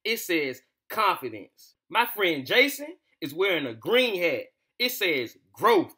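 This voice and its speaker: Children's story host: young American male, slightly high voice, informal and familiar, recorded roomy and a bit distant. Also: sing-song, takes it slowly, bright, forceful tone. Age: young adult. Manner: informal, slangy, addressing listeners familiarly. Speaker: host of children's read-aloud bedtime story show. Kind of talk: casual chat to children and storybook reading. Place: small room at home, indoors. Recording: echoey room, distant camera microphone.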